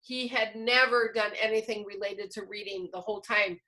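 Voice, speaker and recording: A woman's voice: mid-range voice, level tone, moderate pace, pushed and bright, rough recording. A woman presenting a teacher-training session, explaining informally with examples as she answers questions. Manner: explanatory, illustrates points with examples.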